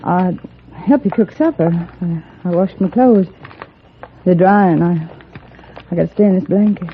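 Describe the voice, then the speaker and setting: A woman's voice: lowish voice, moderate pace, a little hiss or hum, lightly acted. An American actress playing a tough, proud young frontier woman in a 1950s radio western, with blunt, folksy speech. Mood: wary, curious. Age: young adult. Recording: narrow-band, muffled 1950s radio recording.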